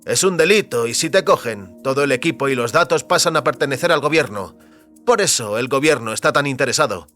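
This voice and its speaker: An actor playing a wary, determined man trapped between powerful forces, speaking deliberately in an audio drama. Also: mid-range voice, animated, fairly bright tone, soft background music, natural voice. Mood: explaining, intent.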